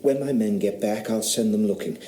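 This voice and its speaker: An actor playing an earnest eighteenth-century squire with a taste for experiments, speaking in a formal, courteous way. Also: male voice, lowish voice, brisk, mellow, echoey room, natural voice. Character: earnest male country squire, amateur scientist. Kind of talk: radio drama acting.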